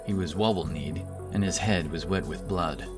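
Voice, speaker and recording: Deep-voiced male audiobook narrator. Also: moderate pace. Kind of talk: dramatic scripted fantasy narration. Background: music.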